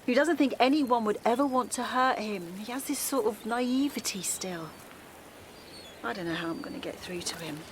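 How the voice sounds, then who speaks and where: A woman's voice: fairly high pitch, normal speed, slight background noise, close to an everyday voice. An actress playing a weary, worried middle-aged mother, confiding and emotionally strained in a naturalistic audio drama. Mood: shaken worry. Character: Alice, a worn-out, worried mother of a teenage son.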